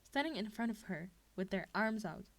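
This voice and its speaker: A woman's voice: medium pitch, expressive, moderate pace. A woman reading a scripted fan fiction story aloud as its narrator. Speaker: podfic reader.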